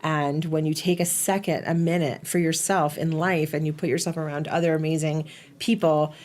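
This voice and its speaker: A woman's voice: low-pitched, fairly even intonation, normal speed, darkish tone. A woman hosting a podcast, speaking in an earnest, explanatory way as she reflects on a retreat. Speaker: podcast host. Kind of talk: reflective commentary.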